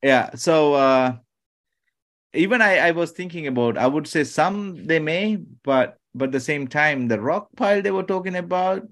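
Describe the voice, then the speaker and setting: A man from Sri Lanka, a podcast guest chatting casually, talking in halting bursts with many restarts and sudden emphasis. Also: on the high side, lively intonation, fairly bright tone. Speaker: podcast guest, former contestant. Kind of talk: casual chat.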